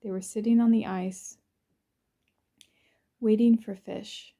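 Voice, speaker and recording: A woman narrates a guided visualization in a soothing, unhurried way, in short phrases with pauses between them. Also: mid-range voice, steady tone, mellow. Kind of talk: guided visualization, first-person present-tense narration.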